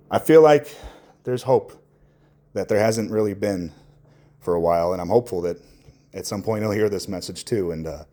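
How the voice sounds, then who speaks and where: A man talking candidly and off the cuff in a reflective, earnest monologue, halting as he searches for words and swearing casually. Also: sits low, dark tone. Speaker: podcast host.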